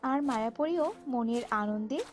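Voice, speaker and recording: A woman's voice: fairly high pitch, moderate pace, darkish tone, faint music behind. A woman narrator reading a scripted storybook tale for a cartoon.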